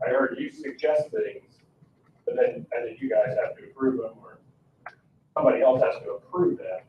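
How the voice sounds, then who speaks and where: A man's voice: medium pitch, animated, moderate pace. American man, blunt resident questioning officials, thin phone-like audio from far off. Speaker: resident commenting at a public meeting. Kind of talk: unscripted pointed questioning. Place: indoor public meeting room. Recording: echoey, distant, phone-like, faint noise.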